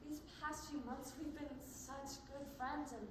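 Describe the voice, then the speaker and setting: Distant and washed in echo, a young woman plays a teenage girl prisoner in a stage drama. Her voice is high, and the character is gentle, earnest and anxious. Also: fairly even intonation, a little slow. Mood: tender. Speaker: amateur stage actor. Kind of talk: one-act stage play acting. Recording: very echoey, distant, noisy stage recording.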